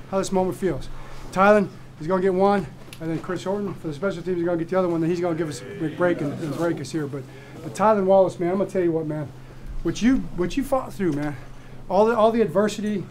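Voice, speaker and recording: A man's voice: high-pitched, darkish tone. A middle-aged man, a head coach, giving an emphatic, rousing, repetitive speech to his players in a crowded locker room. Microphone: far camera mic. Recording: crowd noise, far camera mic. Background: crowd of players and noise.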